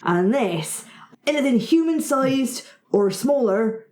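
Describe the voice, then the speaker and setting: A woman's voice: sits high, expressive, takes it slowly, dark tone, reverberant, voice pushed up. A woman voicing a male goblin alchemist who hobbles around his shop, chatty and fussy, with a hesitant, rambling sales patter. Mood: eager salesman.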